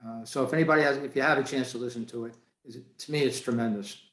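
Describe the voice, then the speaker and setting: A man chatting on a video call as a club member, earnest and halting. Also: mid-range voice, fairly even intonation, a bit bright. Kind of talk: casual chat. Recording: roomy, echoey video call.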